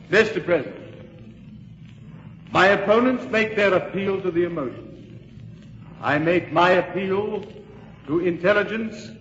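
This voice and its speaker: High-voiced, slow, pleading colonial orator. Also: male voice. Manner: grave, oratorical, deliberate. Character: impassioned colonial delegate pleading against independence. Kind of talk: golden age radio drama oratory. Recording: dull old radio recording, echoing hall.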